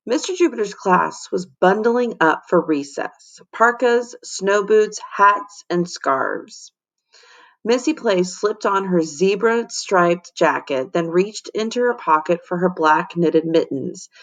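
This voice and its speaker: A woman's voice: fairly low pitch, animated, slow. A woman reading a children's story expressively, as a teacher does for students. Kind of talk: expressive storybook read-aloud.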